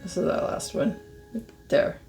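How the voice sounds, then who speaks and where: A middle-aged woman with a hoarse, scratchy voice, chatting slowly to viewers as she reviews art pens and marvels at their colors. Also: music in the background. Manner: slow, musing, easily amazed, talks to viewers. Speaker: craft channel host. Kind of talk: casual product review chat.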